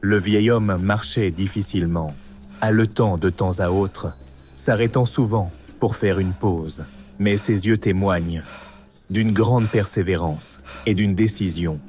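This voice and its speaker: A man narrates a cartoon story from a script. His voice is on the low side, in a storybook style. Faint music accompanies him. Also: unhurried, a little mellow.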